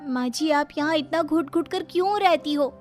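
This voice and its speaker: Woman as a defiant, high-pitched young daughter-in-law. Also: moderate pace, darkish tone, soft background music, lightly acted. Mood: earnest. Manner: indignant, sarcastic questioning.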